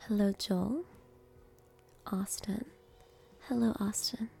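Very slow, whispering ASMR woman. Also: mid-range voice, steady tone, soft background music. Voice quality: breathy whisper mixed with soft speech. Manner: gentle, slow, pausing between short phrases. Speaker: host greeting viewers by name. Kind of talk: ASMR name reading.

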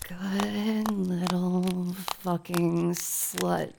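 A dominant, teasing female boss played by a woman in an intimate audio roleplay for adults. Her voice is gravelly with fry and she takes her time, breathing audibly and slipping toward whispers. Clear noise sits behind her. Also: fairly low pitch, flat delivery, extremely slow, heavily acted. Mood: commanding, aroused. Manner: breathy delivery with sighs and drops into whispers.

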